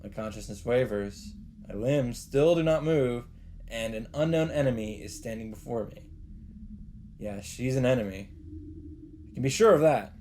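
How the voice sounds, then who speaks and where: A man's voice: mid-range voice, moderate pace, warm tone, a little hiss or hum. A man reading a visual novel aloud with dramatic delivery as a let's player, with faint game ambience behind him.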